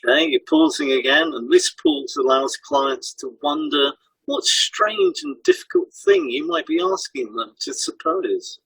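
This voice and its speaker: A man's voice: extremely high pitch, huge pitch swings, measured pace, creaky, fairly bright tone, breathy edge, no room sound, low-quality recording. A man co-hosting a podcast as a hypnotherapist, reading scripted explanations in an instructional way.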